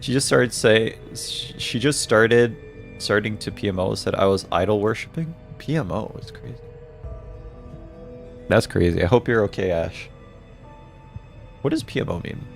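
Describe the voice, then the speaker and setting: A man's voice: lowish voice, animated, moderate pace, creaky, pauses often, faint music behind. A man in his twenties streaming a game, joking with chat, reading messages aloud and laughing often. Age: 20s. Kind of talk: casual livestream chat while playing a game.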